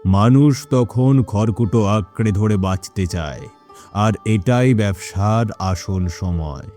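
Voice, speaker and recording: A man playing a greedy, scheming, cruel village boss in an animated moral story, speaking in a smug, gloating, deliberate manner. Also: lowish voice, soft background music.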